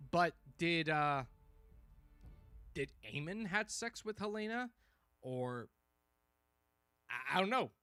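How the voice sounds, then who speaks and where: Male livestream reviewer, higher-pitched and bright, casual excitable fan delivery, slightly worn-out voice. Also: slow, pauses often, smooth, no echo, soft background music. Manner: animated, exclamatory, informal. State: voice tiring, frequent throat clearing. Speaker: livestream host reviewing a TV series.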